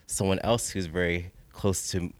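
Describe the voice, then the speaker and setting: A man's voice: sits low, fairly even intonation, measured pace, dry recording. An American man hosting a casual podcast conversation. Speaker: podcast host.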